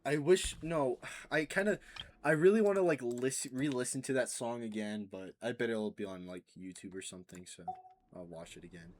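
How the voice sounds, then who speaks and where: A man's voice: mid-range voice, moderate pace. A man giving effusive, emotional reaction commentary as he plays a game, sometimes reading its text aloud. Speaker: game streamer. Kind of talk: let's play reaction commentary. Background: game music.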